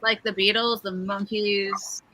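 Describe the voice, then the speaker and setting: Woman, 30s, curious giggling guest artist; bright, crisp tone. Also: mid-range voice, flat delivery, a little slow, low-quality recording. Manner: curious, asks questions, giggles. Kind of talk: casual chat while drawing.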